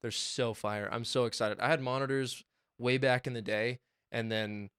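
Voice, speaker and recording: A young man co-hosting a podcast, talking excitedly and swearing a lot as he rambles through stories about mixing music in his small home studio. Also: mid-range voice, moderate pace, clear, resonant tone, dry recording. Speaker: podcast co-host and music producer. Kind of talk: casual chat.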